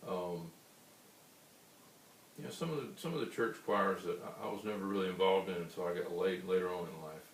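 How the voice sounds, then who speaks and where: A man with a baritone voice, a singer-songwriter answering interview questions in an unhurried, rambling way. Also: vocal fry. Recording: roomy, distant mic with faint hiss.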